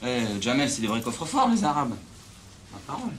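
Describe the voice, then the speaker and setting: Lively, taunting young man. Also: mid-range voice, brisk, a little hiss or hum. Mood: defiant. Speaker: film actor. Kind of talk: naturalistic film dialogue in street slang.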